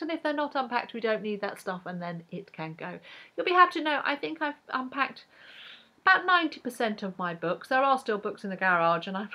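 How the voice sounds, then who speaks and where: A woman's voice: mid-range voice, bright, forceful tone. A young English woman chatting to camera as a book vlogger, rambling with fillers and restarts. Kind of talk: casual chat to camera.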